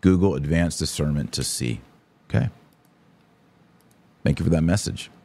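A man's voice: very deep voice, moderate pace, gravelly, mellow. A man hosting a live podcast, giving opinionated commentary and answering listeners in an emphatic, preacherly, indignant way. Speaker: podcast host.

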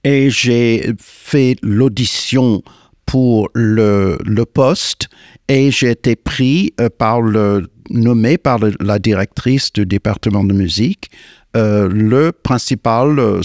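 An older American man, a singer and guest, answering in French in a slow, hesitant way with many fillers and restarts. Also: mid-range voice, a little mellow, no room sound, clean studio sound. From United States. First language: English. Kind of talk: radio interview. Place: radio studio.

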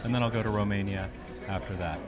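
A man with a fairly low voice and an eager, upbeat way of speaking. He talks about leading worship while music plays clearly behind him. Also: fairly even intonation, fast, a crowd in the background. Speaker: worship leader.

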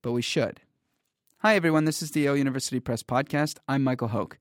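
A man hosting a podcast, reading a scripted introduction and then asking interview questions in a measured way. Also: medium pitch, dry recording, studio quality. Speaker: podcast host.

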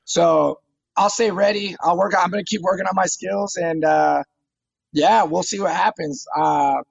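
Gravelly, high-voiced fighter in his thirties. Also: fairly even intonation, unhurried, no echo, muffled recording. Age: 30s. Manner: eager, repeats words when starting phrases. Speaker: MMA fighter guest. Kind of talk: casual interview talk.

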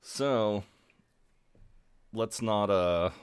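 A man's voice: lowish voice, extremely slow, smooth, no room sound. A man co-hosting a podcast in casual chat, swearing freely and laughing at himself, congested. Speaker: podcast co-host.

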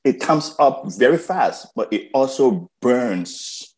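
A man from Liberia, a podcast guest telling stories in a slow, emphatic way and often repeating words as he starts a thought. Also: mid-range voice, sing-song, darkish tone. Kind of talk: reflective conversational storytelling.

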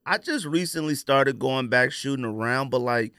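A man's voice: medium pitch, normal speed, sharp tone, no echo. A man with a mid-range voice chats in an informal, slang-heavy podcast style. He is recorded very dry, with hardly any room around the voice. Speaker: sneaker podcast co-host. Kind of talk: casual sneaker podcast chat.

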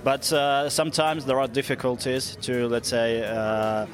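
A man from Russia with a Russian accent, giving measured, explanatory interview answers in a non-native language, often pausing with "let's say". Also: mid-range voice, little pitch movement. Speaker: interviewed security researcher. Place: conference event venue.

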